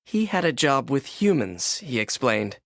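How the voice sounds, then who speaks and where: Animated male storybook narrator. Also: mid-range voice, unhurried, no room sound, studio quality. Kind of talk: scripted children's read-along narration.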